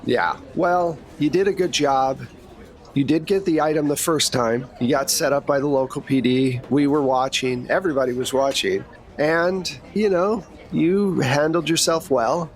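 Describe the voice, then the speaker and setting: A man's voice: a little high, moderate pace, lightly acted. A man playing a brash, cigar-smoking woman agent in a tabletop game, talking bluntly and casually. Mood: frank, matter-of-fact.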